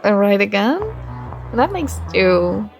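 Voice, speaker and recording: A woman acts out a shy, friendly college girl with a secret crush in an intimate ASMR roleplay. She talks gently and very slowly, with plenty of vocal fry. Faint music plays behind her. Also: medium pitch, very animated, exaggerated voice. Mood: curious, sympathetic. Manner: gentle, unhurried, with small giggles. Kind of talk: ASMR roleplay, soft conversational acting.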